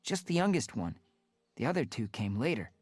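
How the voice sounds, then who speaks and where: A man's voice: mid-range voice, very animated, normal speed, breathy edge, no room sound, voice pushed up. A man voicing a restless young house tomcat in a storybook reading, speaking in an earnest, firm, determined way.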